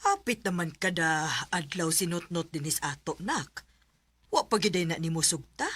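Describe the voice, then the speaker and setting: An actress playing a caring, gently scolding mother in a radio drama. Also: fairly low pitch, animated, normal speed, soft background music. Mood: advising. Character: caring middle-aged mother. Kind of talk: Visayan radio drama acting.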